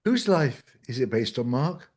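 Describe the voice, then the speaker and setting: A man's voice: medium pitch, very animated, unhurried, a little mellow. A man hosting a casual interview, putting questions to his guests in a conversational way.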